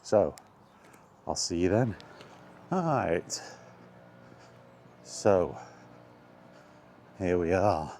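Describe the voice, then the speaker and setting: Low-voiced man rambling slowly outdoors. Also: a little mellow, a little hiss or hum. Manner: unhurried, thinking aloud in short broken phrases. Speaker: host of a walk-and-talk retro gaming vlog. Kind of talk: casual rambling chat. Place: outdoors on a walk.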